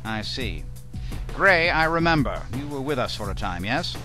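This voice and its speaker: A man playing a formal, measured military commander with a probing manner in an audio drama. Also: medium pitch, sing-song, sharp tone. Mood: inquiring. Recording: music under the voice.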